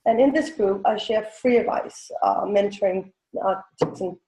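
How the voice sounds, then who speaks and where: Woman in her forties, Danish accent, native Danish speaker, hesitant self-correcting interviewee, medium pitch, roomy echo. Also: moderate pace, warm tone. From Denmark. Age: 40s. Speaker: guest, teen coach and business owner. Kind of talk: casual interview answers. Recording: roomy, echoing sound.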